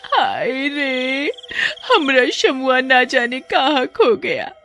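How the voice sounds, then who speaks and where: A woman's voice: high voice, big pitch swings, pushed and bright, faint music behind, pitched above the performer's own voice, full character voice. Wailing village mother. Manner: wailing, drawn-out, rustic speech. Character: wailing village mother searching for her son.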